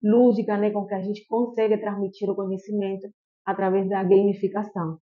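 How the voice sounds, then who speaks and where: A woman from Cuba with a Cuban Spanish accent, a chemistry professor giving measured, explanatory answers full of small fillers as a podcast guest. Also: mid-range voice, level tone. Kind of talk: podcast interview. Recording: tinny phone-like line.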